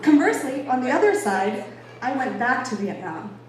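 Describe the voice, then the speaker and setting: A woman's voice: fairly high pitch, very animated, normal speed. An American woman from Boston giving an informal public talk in an emphatic, conversational, playfully self-deprecating way. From Boston, United States. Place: echoey indoor venue with an audience. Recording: echoey room, distant mic, faint noise.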